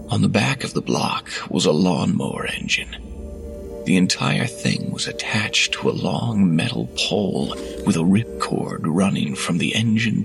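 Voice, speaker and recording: A man reading a horror story aloud in a measured, hushed delivery that drops to whispers, with faint music behind. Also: sits low, vocal fry. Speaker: narrator. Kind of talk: scripted horror narration.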